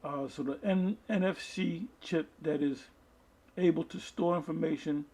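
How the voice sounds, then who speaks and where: A man preaching informally online, reading aloud and commenting in a halting way, often restarting his phrases. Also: a little high, dark tone, husky. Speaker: preacher reading articles and scripture to an online audience.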